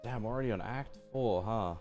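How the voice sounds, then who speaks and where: A man's voice: on the low side, a little fast, a little mellow. A man giving casual, slangy, laid-back live commentary as a game streamer. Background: game music.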